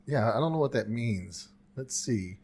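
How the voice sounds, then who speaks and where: A man with a mid-pitched voice talks as a podcast co-host. His speech is casual and colloquial, loosely phrased and easygoing in its wording, as in banter between friends. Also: lively intonation, normal speed, vocal fry, darkish tone.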